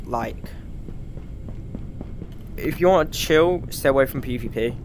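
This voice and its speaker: British guy rambling over gameplay, swears a lot, mid pitch, game noise in the back. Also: unhurried. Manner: rambling, frequent swearing and fillers. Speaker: gaming YouTuber. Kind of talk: casual gameplay commentary.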